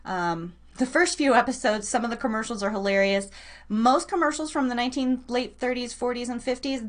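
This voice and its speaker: High-voiced, playful woman hosting. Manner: playful, chatty, with mock-dramatic asides. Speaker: radio show and podcast host. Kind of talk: casual live chat with listeners.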